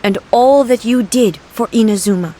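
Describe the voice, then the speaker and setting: A woman playing a stoic immortal warrior goddess in a video game, speaking in a measured, grave and formal manner. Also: on the high side, dark tone, a little hiss or hum, lightly acted. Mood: solemn, resolute.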